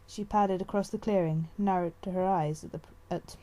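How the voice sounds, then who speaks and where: A woman's voice: lowish voice, fairly even intonation, normal speed, warm tone. A woman narrating a story as an amateur audiobook reader.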